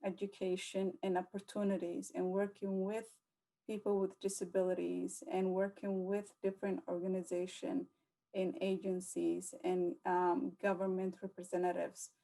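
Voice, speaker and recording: A young woman from the United States speaking as a panelist at a virtual conference, measured and careful, often pausing on um. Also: fairly low pitch, completely flat delivery, creaky, warm tone, poor audio. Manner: measured, with frequent um fillers.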